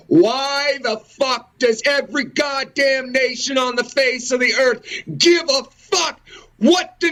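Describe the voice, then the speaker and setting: Male commentary host, high register, slow delivery, impassioned and sweary, given to repetitive rhetorical rants. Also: fairly bright tone. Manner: ranting, repetitive, rhetorical questions, cursing. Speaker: host of a religious and historical commentary show.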